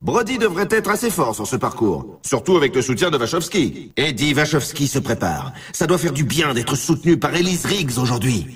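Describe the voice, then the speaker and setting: An excitable snowboard announcer from a video game, swooping widely through scripted race commentary. His voice has a rough, husky grain and sits slightly back from the microphone. He stretches words for showman-like drama. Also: medium pitch, normal speed, few pauses, distant mic. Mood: hyped, confiding.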